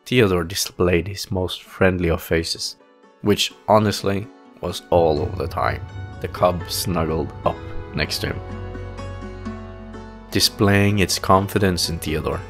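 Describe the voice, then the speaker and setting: A man narrating a fantasy audio play in a theatrical, solemn storytelling manner with deliberate, dramatic phrasing. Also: deep voice, fairly even intonation, faint music behind.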